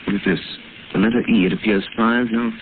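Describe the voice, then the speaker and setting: An actor playing a calm, reflective gentleman detective working out a crime in a vintage radio drama. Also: on the low side, natural voice. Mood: thoughtful. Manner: measured, reflective. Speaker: amateur detective. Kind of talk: 1940s radio acting. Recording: lo-fi old radio broadcast, hiss.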